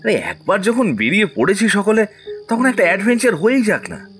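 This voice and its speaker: An actor playing a jokey, carefree young college student in a horror audio drama, with a teasing manner. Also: male voice, sits high, huge pitch swings, fairly quick, a bit bright, faint music behind, heavily acted. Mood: eager. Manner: breezy, teasing.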